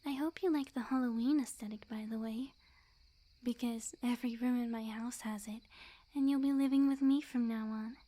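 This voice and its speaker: A woman voicing a sweet, obsessively lovestruck, smug young witch in an intimate ASMR roleplay, speaking in a soft breathy near-whisper with quiet menace. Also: a little high, fairly even intonation, moderate pace, lightly acted. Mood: sweet, possessive. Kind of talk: ASMR roleplay, intimate scripted monologue to the listener.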